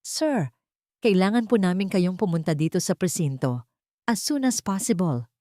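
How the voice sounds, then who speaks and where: A woman's voice: lowish voice, expressive, warm tone, no room sound, clean studio sound, lightly acted. A woman with a Filipino accent voicing a grave, respectful male police inspector in an audiobook drama. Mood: urgent, formal. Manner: deferential, measured official phrasing.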